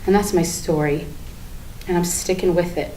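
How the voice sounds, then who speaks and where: A woman's voice: fairly low pitch, steady tone, normal speed, lightly acted. A woman acting a bitter, manic, sardonic character in a theatrical dramatic monologue at a staged reading. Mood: defiant. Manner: theatrical, emphatic, repetitive, sardonic, swinging from hushed to ranting. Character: bitter, manic woman confessing her war on a Barbie doll. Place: indoor room, live staged reading. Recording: echoey room with background hiss.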